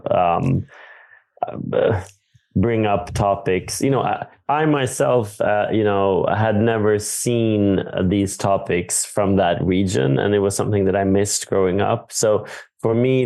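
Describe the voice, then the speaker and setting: A man from Sweden, a film director in a stage Q&A, speaking in a thoughtful, halting way with many fillers and restarts. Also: sits low, gravelly, darkish tone. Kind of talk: Q&A conversation on stage. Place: theater.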